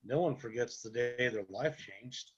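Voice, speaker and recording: A man playing a composed, probing support-group leader with a husky voice, in a dramatic script read-through. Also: medium pitch, measured pace, dull audio.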